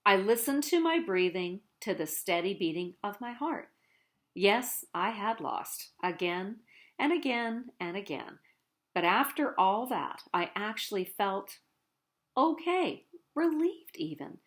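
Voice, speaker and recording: A woman's voice: medium pitch, sing-song, takes it slowly. A woman reading a children's picture book aloud as a boastful, theatrical cheese telling his own tale in a dramatic, self-important way. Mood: calm, relieved.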